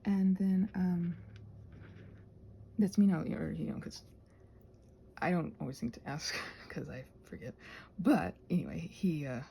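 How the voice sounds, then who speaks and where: A woman's voice: fairly low pitch, a little slow, creaky, long pauses, warm tone, husky. A woman chatting casually in a whimsical, digressive way while she does crafts. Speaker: craft vlogger.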